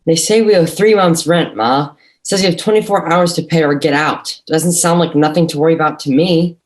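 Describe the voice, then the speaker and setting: A man's voice: fairly high pitch, moderate pace, natural voice. Reserved, skeptical young son man acting. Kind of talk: dramatic table read.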